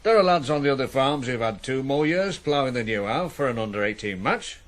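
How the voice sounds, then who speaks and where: A man's voice: medium pitch, lively intonation, fairly quick, close to an everyday voice. A male actor playing a dry, fatherly farm foreman who cautions and teases in a radio drama. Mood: wry, cautioning. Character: wry, fatherly farm foreman mentoring a boy.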